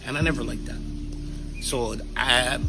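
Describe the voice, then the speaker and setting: A man's voice: mid-range voice, fairly even intonation, a little slow, background noise. A man from Hawaii with a Hawaiian Pidgin accent tells personal stories casually, in a candid, slangy, playful way. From Kahuku, Hawaii. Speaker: interviewee.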